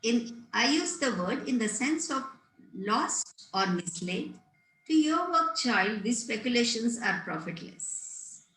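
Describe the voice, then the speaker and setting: A woman's voice: a little high, lively intonation, slow, fairly bright tone. A woman playing a prim, pompous older governess in a table read of a Victorian comedy, formal, didactic and scolding. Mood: regretful, prim. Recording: roomy, echoey home recording.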